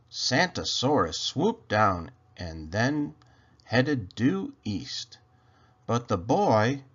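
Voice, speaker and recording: A man reading a rhyming children's story aloud in an expressive, theatrical way with drawn-out phrasing. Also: medium pitch, breathy edge, dull audio.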